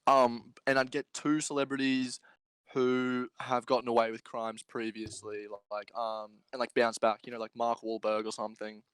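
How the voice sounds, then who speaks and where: A man chats casually on a group podcast in a mid-pitched voice. He is jokey and likes to repeat a phrase to drive it home. The sound is dry, with little room around it. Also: flat delivery, moderate pace, clear, resonant tone, dry recording, low-quality recording. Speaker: podcast co-host. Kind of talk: casual joking group chat. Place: remote group podcast during quarantine.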